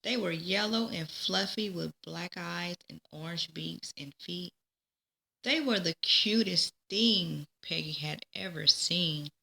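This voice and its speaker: A woman reading a children's storybook aloud, with expressive, deliberate phrasing. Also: on the low side, gravelly, poor audio. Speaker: storybook reader. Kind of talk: children's read-aloud narration.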